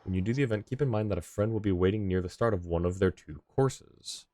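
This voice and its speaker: A man's voice: lowish voice, fast, dark tone. A man streaming a game live, chatting casually and rambling as he thinks aloud. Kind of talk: casual livestream chat.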